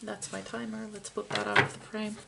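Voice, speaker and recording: A woman's voice: medium pitch, flat delivery, brisk, vocal fry, heavy background noise. A woman losing her voice, giving a chatty, meandering pick-a-card tarot reading straight to the viewer. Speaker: tarot reader.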